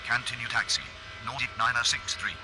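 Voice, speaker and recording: A man plays a matter-of-fact airline pilot in a flight simulator game. His voice sits at a middling pitch as he gives formal, clipped read-backs to the tower. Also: level tone, fairly quick, music in the background, close to an everyday voice, low-quality recording. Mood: businesslike. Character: airline pilots radioing the control tower, businesslike. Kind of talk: clipped aviation radio read-back.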